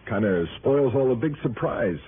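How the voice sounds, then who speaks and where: A man's voice: mid-range voice, animated, normal speed, crowd noise, lightly acted. An American man playing an eager, generous, insistent friend in a 1960s radio drama. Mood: eager, puzzled. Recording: thin narrowband old radio broadcast.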